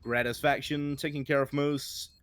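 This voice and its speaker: A man's voice: on the high side, level tone, normal speed. Guy doing color commentary on an esports match, breaks down plays, stumbles and repeats words, noisy mic. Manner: analytical, stammers and repeats words. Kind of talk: live esports analysis.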